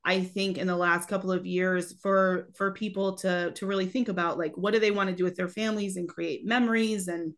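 A woman in her thirties co-hosting a podcast in casual chat, her voice a little raspy from a cold, talking loosely with many restarts. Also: on the low side, little pitch movement, brisk, few pauses. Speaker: podcast co-host.

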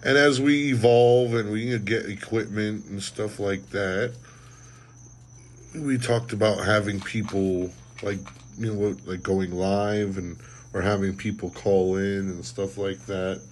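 A man's voice: fairly low pitch, fairly even intonation, slow, a little hiss or hum, rough recording. A man co-hosting a casual podcast, rambling with many fillers and trailing off. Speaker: podcast co-host. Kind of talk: casual chat.

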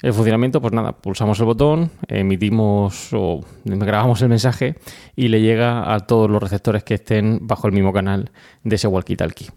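A man's voice: fairly low pitch, steady tone, normal speed, vocal fry, mellow. A man with a Spanish accent from Spain hosting a casual explanatory podcast in a conversational, hesitant way with frequent filler words. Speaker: podcast host.

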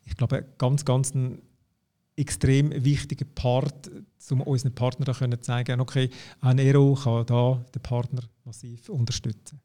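A man's voice: mid-range voice, little pitch movement, moderate pace, mellow. A man with a Swiss German accent explaining company services in a business conversation, often hesitating and restarting sentences. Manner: hesitant, many fillers and restarts, "ich sage jetzt mal". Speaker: company representative for education and partners.

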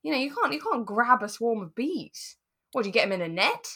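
A woman's voice: fairly high pitch, very animated, a little fast, sharp tone, breathy edge. Chatty, giggly British woman. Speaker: podcast co-host. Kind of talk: relaxed chat about a radio drama.

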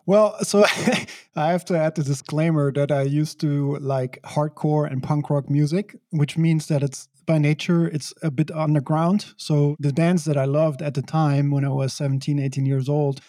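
A middle-aged man from Belgium, speaking as an interview guest in a reflective, measured way with occasional laughs. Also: fairly high pitch, steady tone, mellow, no echo, studio quality. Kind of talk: conversational interview.

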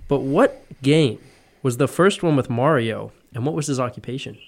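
A man's voice: medium pitch, normal speed, vocal fry. A man co-hosting a podcast in casual conversation. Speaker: podcast co-host. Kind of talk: casual chat.

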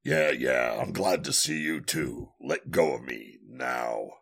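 Guy playing a gruff grumpy tough guy in an audio drama, super deep gravelly voice, blunt. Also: slow pace, a bit bright, heavily acted. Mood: irritated, threatening. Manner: blunt, grumbling. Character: gruff, hot-tempered retired male team member who loves beer.

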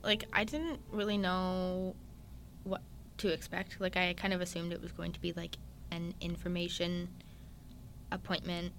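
A young man, a guest in a casual chat, talking openly and at length with lots of fillers and animated retellings. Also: high-pitched, measured pace, creaky, slight background noise. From Newfoundland, Canada. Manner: chatty and open, many "like" fillers, animated retellings.

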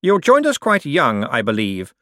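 Smug, moneyed male bank director for an audiobook, read by a male narrator: suave menace, pitched up, very lively intonation. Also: no room sound, studio quality. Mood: oily, falsely generous. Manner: suave, condescending, drawn-out hints. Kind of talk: audiobook character dialogue.

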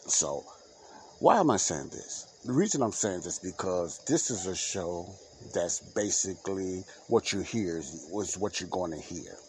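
Very deep-voiced congested man rambling. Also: expressive, a little slow, darkish tone, a little hiss or hum. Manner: rambling, filler-heavy, repeats himself. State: congested, stuffy nose. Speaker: podcast host. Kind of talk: unscripted solo podcast talk. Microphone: home podcast mic, unedited.

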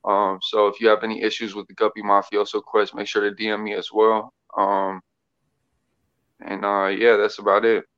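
A man introducing himself as the social media person, halting, with many fillers and restarts. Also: on the low side, monotone, fairly bright tone, clear, resonant tone. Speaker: social media team member. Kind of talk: casual self-introduction. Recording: dull lo-fi audio.

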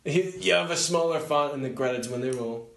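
A man's voice: on the high side, a little fast, gravelly, a bit bright. A man playing a boastful older president in improvised comedy banter, pompous, rambling and repeating himself, in an echoey room. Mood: self-satisfied. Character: boastful older male president, parody of a real politician.

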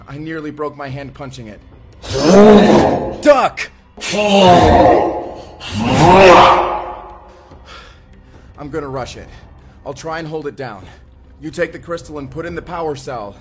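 A man playing an excitable, friendly companion in a science fiction audio roleplay, speaking casually and expressively. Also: a little high, normal speed, pauses often, over music, close to an everyday voice. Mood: urgent, straining. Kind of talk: immersive first-person audio roleplay acting.

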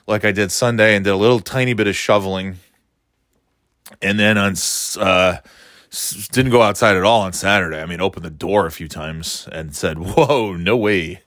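A man's voice: fairly low pitch, brisk, no room sound. Rambling middle-aged man, dry close mic. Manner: meandering, self-interrupting, playfully excitable. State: slightly stuffy nose. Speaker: podcast host. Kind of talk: rambling solo chat.

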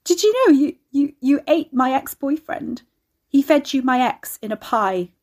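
Narrator as a rattled young woman, higher pitch, blunt, defiant, horror audiobook. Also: lively intonation, measured pace, darkish tone. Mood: hysterical, defiant. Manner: blunt, agitated.

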